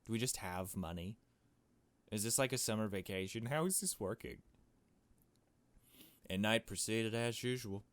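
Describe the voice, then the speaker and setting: A man's voice: on the low side, normal speed, long pauses, slight background noise. A man reading a visual novel game aloud and commenting casually, with wry, joking asides. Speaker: gaming video host.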